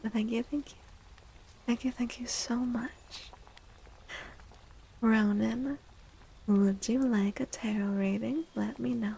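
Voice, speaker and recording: Female ASMR livestream host. Husky, breathy, often whispered, with a soft warm tone and gentle manner. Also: on the high side, steady tone, poor audio. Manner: gentle, unhurried. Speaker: livestream host and tarot reader.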